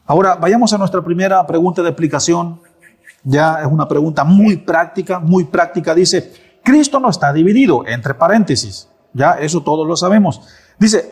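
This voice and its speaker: A man's voice: on the high side, expressive, moderate pace, a little mellow, breathy edge. Man preaching with rhetorical questions. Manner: rhetorical questions with emphatic answers. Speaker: pastor. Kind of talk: sermon. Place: church.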